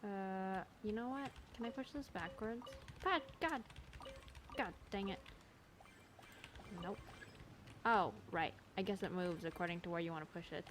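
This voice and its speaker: A woman's voice: mid-range voice, unhurried, long pauses, noisy background. A woman giving casual gameplay commentary, muttering to herself and exclaiming in exasperation as she plays. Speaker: gamer recording a playthrough.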